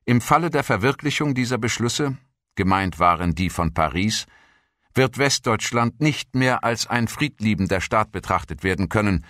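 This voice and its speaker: A man's voice: on the low side, fairly bright tone, no echo, studio quality. A man reading scripted documentary narration as a narrator.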